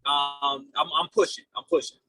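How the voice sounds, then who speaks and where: A man's voice: fairly high pitch, fairly even intonation, moderate pace, pushed and bright, dry recording, rough recording. A man in his late twenties giving casual, earnest interview answers, rambling and repeating his phrases as he goes. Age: late 20s. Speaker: podcast guest, real estate investor.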